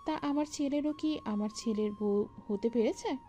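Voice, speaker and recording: A woman's voice: on the high side, moderate pace, faint music behind, lightly acted. A woman playing an affectionate, teasing middle-aged mother in an audio story. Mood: teasing, pleased. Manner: gently teasing, fond. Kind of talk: Bengali audio story acting.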